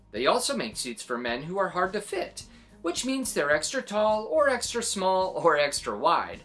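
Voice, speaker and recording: Female children's read-aloud host: low register, very animated delivery, expressive reactions, soft music underneath. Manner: exclaiming, expressive, adds little reactions. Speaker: children's story reader and host.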